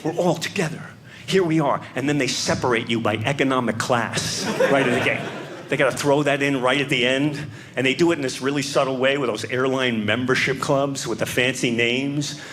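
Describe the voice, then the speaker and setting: A man with a New York accent doing stand-up comedy on a stage, animated and stammering as he restarts his sentences. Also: mid-range voice, normal speed. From New York City. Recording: noisy live stage recording.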